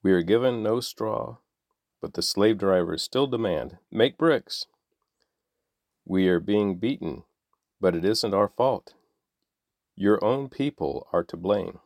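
A man's voice: on the low side, slow pace, vocal fry, long pauses, darkish tone, no room sound, studio quality. A man voicing desperate male work foremen who plead and reproach. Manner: pleading, then bitter.

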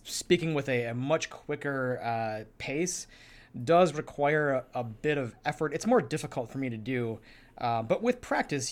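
A man explaining things in a casual discussion as a podcast co-host. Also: mid-range voice.

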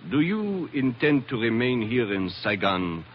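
An actor playing a suave, guarded colonial gentleman, speaking with formal politeness in 1940s radio drama. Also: mid-range voice, sing-song, a little slow, slight background noise. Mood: suave, polite. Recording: muffled vintage radio recording.

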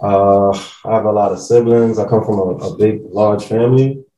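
A man's voice: fairly low pitch, steady tone, moderate pace, warm tone, reverberant, recorded from a distance, poor audio. A man from the Bronx with a New York accent, an easygoing guest who laughs and talks in slang. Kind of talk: casual chat.

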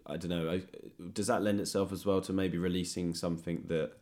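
A man's voice: sits low, little pitch movement, brisk, a little mellow, slight background noise. A man hosting a conversational interview, speaking tentatively and thoughtfully as he searches for the right words. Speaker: podcast host. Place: indoors, guest's home.

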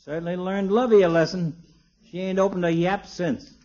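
Man playing a high-voiced, chatty folksy barber. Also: fairly even intonation, normal speed, heavy background noise, lightly acted. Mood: sly, teasing. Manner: talkative, folksy slangy wisecracking. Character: chatty, folksy small-town barber, cheeky married man. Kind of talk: 1950s radio situation comedy acting. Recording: dull, muffled old radio recording.

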